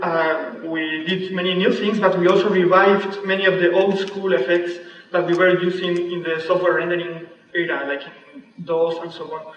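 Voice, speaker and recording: A man's voice: sits high, a bit bright. Spanish-accented man lecturing in an echoey hall. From Spain. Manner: halting, careful delivery with frequent fillers. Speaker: conference speaker. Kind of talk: technical presentation. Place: large dark auditorium stage. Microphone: far camera. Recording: echoey hall from far off, dull lo-fi.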